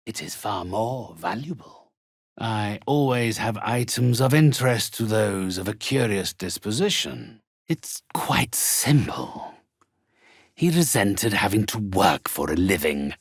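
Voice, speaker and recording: An actor playing a haughty, sinister old undead necromancer in a video game, with a gravelly, husky voice that often drops to a breathy whisper and a theatrical, condescending, formal delivery. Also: male voice, fairly low pitch, fairly bright tone, dry recording, studio quality, heavily acted. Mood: smug, mercantile. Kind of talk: video game character acting.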